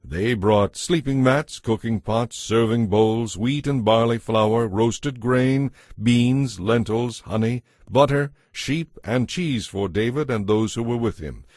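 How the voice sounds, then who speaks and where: A man narrating a dramatized audiobook in a measured, solemn storytelling manner. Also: medium pitch, a little mellow, no echo, studio quality. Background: faint music.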